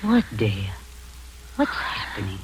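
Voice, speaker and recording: A falsely sweet older spy matron. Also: female voice, extremely low pitch, wildly swooping pitch, takes it slowly, noisy background, heavily acted. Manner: sugary and condescending, turning cold and commanding. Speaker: villainous spy posing as an aunt. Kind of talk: 1940s radio acting.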